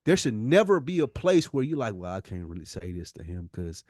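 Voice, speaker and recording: A man's voice: lowish voice, sing-song, a little fast, a little mellow, dry recording, clean studio sound. An American man co-hosting a podcast in a candid heart-to-heart, speaking earnestly and emphatically and repeating himself to make his point. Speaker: podcast co-host.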